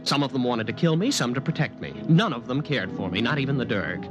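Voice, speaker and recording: A man's voice: medium pitch, expressive, fast, dull audio, music in the background, close to an everyday voice. A man playing a nervous, obsessive young man who narrates his ordeal with agitated intensity in 1950s radio acting. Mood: brooding. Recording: old 1950s radio broadcast.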